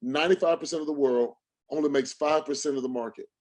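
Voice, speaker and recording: Man, somewhat high-pitched, motivational speaker, livestream sales talk, emphatic, repetitive preacher-style phrasing, rhetorical questions. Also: quick pace, a little mellow. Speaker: motivational speaker and financial trading coach.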